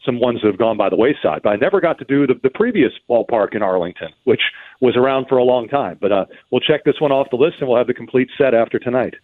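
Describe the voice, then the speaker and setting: A man's voice: medium pitch, lively intonation, fast talker. A man giving earnest, detailed interview answers as a baseball broadcaster, often stammering into restarts. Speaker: baseball radio play-by-play broadcaster, guest. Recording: thin phone-like line.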